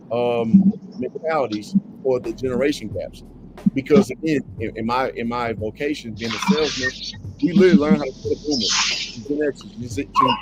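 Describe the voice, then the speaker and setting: A man who works as a salesman, arguing confidently in quick bursts and stammering on repeated words. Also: medium pitch, background noise. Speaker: salesman guest. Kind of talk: casual livestream debate.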